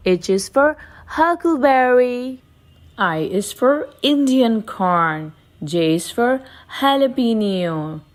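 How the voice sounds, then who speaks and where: A woman reading aloud for preschool children in a deliberate way, pausing between short phrases. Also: on the high side.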